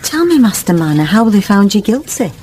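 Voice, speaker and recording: A woman's voice: mid-range voice, sing-song, brisk, darkish tone, a little hiss or hum, lightly acted. An actress playing a kindly, pious village woman, homely and earnest, in a period radio drama.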